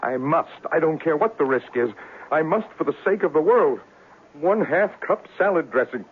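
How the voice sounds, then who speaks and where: An actor in a 1970s radio drama playing an earnest, discouraged young amateur genius, halting and melodramatic, repeating himself. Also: male voice, on the high side, animated, slightly rough. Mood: frustrated, determined. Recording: tinny, noisy old radio broadcast recording.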